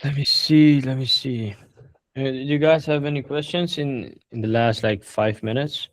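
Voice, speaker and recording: A man hosting a casual group video call, relaxed and hesitant, often restarting his sentences. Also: mid-range voice, moderate pace, no echo. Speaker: call host answering members' questions.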